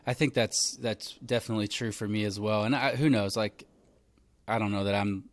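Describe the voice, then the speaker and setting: A man in his thirties hosting a podcast, telling stories in a relaxed chatty way full of "like" and "man". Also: on the low side, fairly quick, no echo. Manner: relaxed storytelling, lots of fillers like "like" and "man". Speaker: podcast host. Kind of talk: casual conversational interview.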